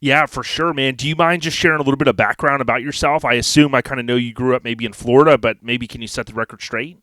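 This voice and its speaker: A man's voice: medium pitch, quick pace, a bit bright, no room sound. A man hosting a podcast in a casual, folksy, slangy way, mixing chat with sponsor reads. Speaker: podcast host.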